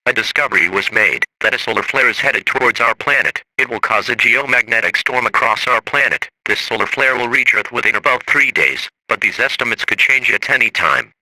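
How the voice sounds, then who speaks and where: A man reading a scripted emergency announcement in a measured, formal manner. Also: mid-range voice, bright, forceful tone. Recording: very noisy radio-like broadcast.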